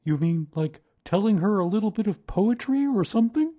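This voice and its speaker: A man voicing a gentle, simple-minded bear in a storybook reading, hesitant and earnest. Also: high voice, expressive, pitched above the performer's own voice. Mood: puzzled. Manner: hesitant, earnest, slow-spoken.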